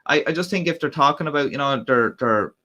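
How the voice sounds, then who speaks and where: A man's voice: on the high side, animated, a little fast, bright, forceful tone, muffled recording. An Irish man hosting a casual livestream, rambling with lots of fillers and restarts. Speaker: livestream host. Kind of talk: casual live-stream chat.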